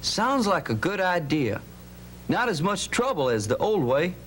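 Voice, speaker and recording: A man's voice: high voice, sing-song, a little slow, a bit bright, heavily acted. A man playing an eager, plain-spoken cowhand sidekick in an educational radio drama. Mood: eager, questioning. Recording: hum and faint background noise.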